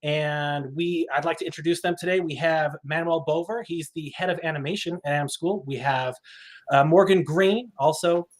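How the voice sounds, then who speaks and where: A man's voice: on the high side, normal speed. Upbeat man hosting a livestream. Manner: energetic, enthusiastic. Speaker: host.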